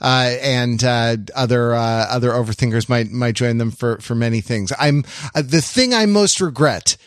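A man hosting a podcast in casual conversation, talking in a halting, digressive way as he thinks aloud. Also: medium pitch, no room sound, studio quality. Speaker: podcast host.

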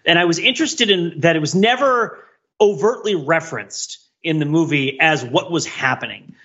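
A man with a fairly high, raspy voice talks through pop culture in an animated, explanatory way. His delivery is casual and bright, like a panelist making an argument. The track sounds slightly dull and lo-fi. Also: normal speed. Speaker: podcast panelist.

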